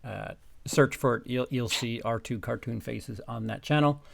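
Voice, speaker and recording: A man co-hosting a comedy reading, chatting and joking casually, often halting as he searches for words. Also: medium pitch, creaky, a bit bright, dry recording. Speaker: co-host reading the male parts. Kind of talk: casual chat and joking commentary.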